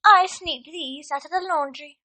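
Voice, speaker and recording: A woman acts as an anxious young witch at school, bookish and earnest. Her voice is high and bright, made for an audio drama. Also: a little slow, full character voice. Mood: hushed eager.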